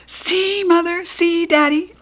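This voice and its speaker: A woman voicing an excitable, pleading little girl in a children's storybook, dramatic and stubborn, with emphatic childlike phrasing. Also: very high voice, takes it slowly, pitched above the performer's own voice, exaggerated voice. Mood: insistent, proud.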